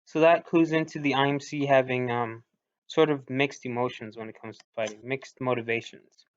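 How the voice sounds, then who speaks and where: A man's voice: medium pitch, fairly even intonation, normal speed. A man giving informal, slightly hesitant explanatory commentary on video game voice lines, thinking aloud as he goes. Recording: dull lo-fi recording.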